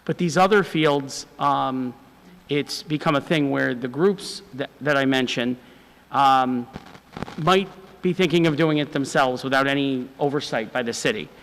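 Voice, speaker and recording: A man with a loud voice, a city department official, explaining a proposal to the council in a repetitive, explanatory way. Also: mid-range voice, a little slow, in a large hall, a little hiss or hum, poor audio. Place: council chamber.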